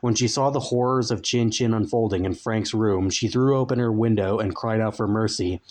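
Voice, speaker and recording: A man narrating a comic story as a dramatic reading, with deliberate, mock-solemn phrasing. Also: fairly low pitch, a little mellow.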